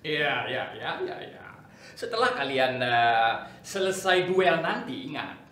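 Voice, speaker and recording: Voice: mid-range voice, big pitch swings, takes it slowly, fairly bright tone. Casting: authoritarian scheming general, acted in a dramatic reading, emphatic oratory with dramatic swings, heard off-mic in an echoey room. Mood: commanding. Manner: declamatory, emphatic, commanding. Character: bombastic, authoritarian general scheming amid political chaos. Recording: echoey room, distant microphone.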